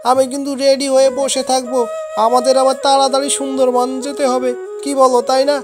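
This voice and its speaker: Casting: woman for petulant young village lad in animation, high-pitched, eager, stubborn, over prominent music. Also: flat delivery, a little fast, natural voice. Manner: pleading, petulant. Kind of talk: amateur cartoon voice acting. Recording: loud music behind the voice.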